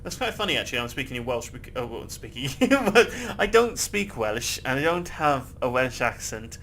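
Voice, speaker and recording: A man's voice: mid-range voice, sing-song, moderate pace, fairly bright tone, slight background noise. A man casually chatting and rambling while he plays a game, swearing freely and laughing often. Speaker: gameplay video host. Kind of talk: casual gameplay commentary.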